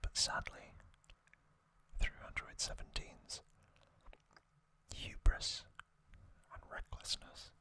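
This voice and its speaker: Male ASMR host for whispered storytelling: very slow, breathy and crisp, delivered in short hushed phrases.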